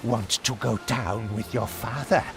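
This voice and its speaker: An actor playing a sinister, taunting murderer in a video game cutscene. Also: male voice, lowish voice, steady tone, gravelly. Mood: menacing taunt. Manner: slow, menacing, taunting. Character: sinister dying male murderer, mocking and menacing villain. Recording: loud music over the voice.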